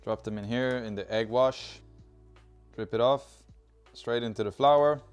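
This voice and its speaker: A man in his thirties with a Swedish accent talks his way through a recipe in a home kitchen, chatty and cheerful. His voice sits at an ordinary pitch, close on a clip-on mic. Soft music plays faintly underneath. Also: measured pace, smooth. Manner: chatty, cheerful, talks while working with food. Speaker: cooking video host. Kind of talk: casual cooking demonstration. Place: home kitchen with open garden door. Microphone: lapel mic.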